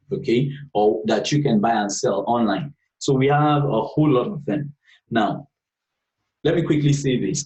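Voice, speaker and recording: A man's voice: mid-range voice, darkish tone, far from the mic. A man teaching beginners in a live online class, going over each point patiently and checking in with the group again and again. His voice sounds set back from the mic, with a roomy echo around it. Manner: patient, repeats points, frequent "okay" checks. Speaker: trading instructor.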